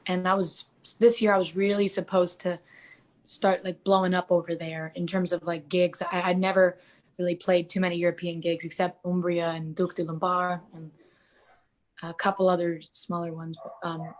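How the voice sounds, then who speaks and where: A woman's voice: lowish voice, level tone, normal speed. A woman in her twenties, a jazz singer and interview guest, talking casually with lots of fillers and restarts. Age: 20s. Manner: chatty, many fillers and restarts.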